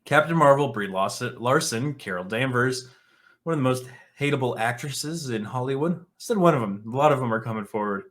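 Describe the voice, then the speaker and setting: A man with a medium-pitched voice hosts an opinionated commentary show. He moves between reading written articles and giving his own reactions. His manner is blunt and scornful, with sarcasm and casual swearing. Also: expressive, brisk, fairly bright tone. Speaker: online commentary show host.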